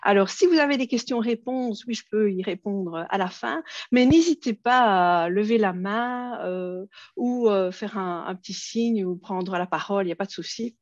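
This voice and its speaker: A woman's voice: mid-range voice, moderate pace, darkish tone, no echo, highs cut off. A woman with a Belgian French accent presenting an online workshop, friendly, with many hesitations and fillers.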